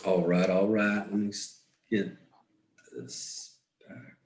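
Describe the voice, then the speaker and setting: A man's voice: low voice, little pitch movement, slow pace, darkish tone. A man hosting an event, chatting casually while setting up and checking the audience can hear. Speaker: event host. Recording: echoey room.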